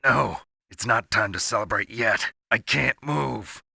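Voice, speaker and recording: A man playing a gruff, stoic, battle-hardened soldier in a video game, with a gravelly, husky voice and a terse, grim manner. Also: medium pitch, a little slow, pushed and bright, no room sound, studio quality, heavily acted. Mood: strained.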